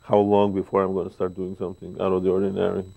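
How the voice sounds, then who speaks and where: A man in his sixties from Mexico with a Mexican Spanish accent, telling stories with pauses and fillers as an interview guest in a living room, speaking into a lapel mic. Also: low voice, monotone, vocal fry. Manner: warm, storytelling, pauses with fillers. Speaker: guest bass player. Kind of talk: relaxed interview talk. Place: living room, seated on a sofa.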